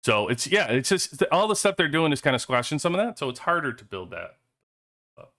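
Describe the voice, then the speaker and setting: Man, livestream host, bright forceful tone, rambling jokey chat, cheap headset mic. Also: fairly high pitch, very animated, fairly quick. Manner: rambling, joking asides. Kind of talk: casual chat. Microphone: cheap headphones headset.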